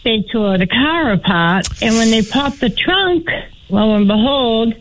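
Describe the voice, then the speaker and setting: A woman with a Boston accent calling in to a radio show and telling a story in a deadpan way. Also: on the high side, unhurried, slight background noise, low-quality recording. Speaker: caller.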